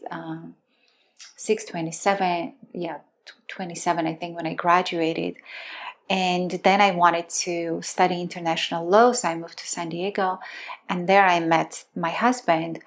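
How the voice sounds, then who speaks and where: A woman in her forties with a fairly low voice tells her own story. She speaks earnestly, repeats words for emphasis and slows down on the phrases that matter to her. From Armenia. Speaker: love and relationship teacher. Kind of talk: personal storytelling.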